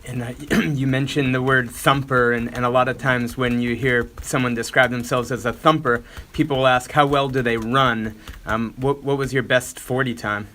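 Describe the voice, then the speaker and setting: Male reporter, medium pitch, faint noise. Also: fairly even intonation, normal speed, fairly bright tone. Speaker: sports reporter. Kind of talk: press questioning. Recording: conference call with faint noise.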